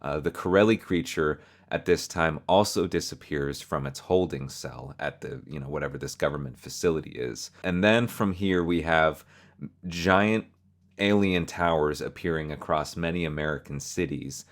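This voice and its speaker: Guy with a really deep low voice doing video essay narration, explaining stuff. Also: moderate pace. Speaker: video host explaining a horror series.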